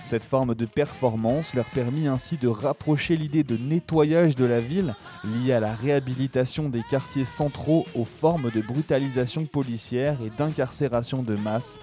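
A man's voice: mid-range voice, moderate pace, hardly any pauses, mellow. A man reading a scripted documentary narration as a radio presenter. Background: music.

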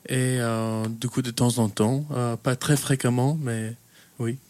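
A man's voice: mid-range voice, level tone, a little slow, a little mellow. A man in his twenties who grew up in Kenya and works as a youth worker, answering interview questions in a hesitant way, with frequent fillers and restarts. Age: 20s.

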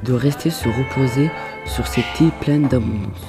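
A man's voice: mid-range voice, normal speed, music in the background. A teenage boy reading scripted narration in an audio drama.

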